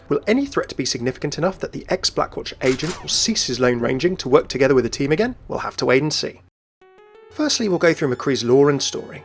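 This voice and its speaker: A man delivers scripted narration as a video essayist. His pace is brisk and his voice sits a little high. Light music runs behind him.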